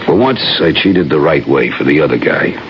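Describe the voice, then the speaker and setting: Gruff swindler, rock-bottom pitch, terse, deliberate, radio drama, muffled and noisy vintage recording. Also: male voice, animated. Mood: solemn, contrite. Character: gruff, methodical male swindler, awkwardly making amends.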